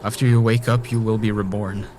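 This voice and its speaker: Casting: male actor for obsessive murderer stuck in a time loop, audio drama, lowish voice, intense and pleading, clearly noisy ambience. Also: flat delivery, brisk, natural voice.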